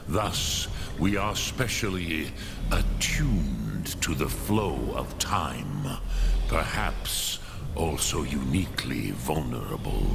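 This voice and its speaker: An actor playing an ancient, wise and patient dragon in a fantasy video game, speaking slowly and solemnly with a gravelly growl and archaic phrasing. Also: male voice, very noisy, heavily acted. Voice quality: very deep, gravelly, breathy growls. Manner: slow, solemn, deliberate, archaic phrasing with foreign words. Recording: loud music under the voice. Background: loud game music.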